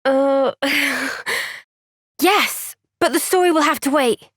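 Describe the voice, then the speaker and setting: An earnest young female soldier from a fantasy video game. Her voice sits on the high side and she takes her time, gentle and reflective with thoughtful pauses. The sound is clean and very dry, with no room around it. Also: no room sound. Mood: hesitant, sincere. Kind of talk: video game cutscene voice acting.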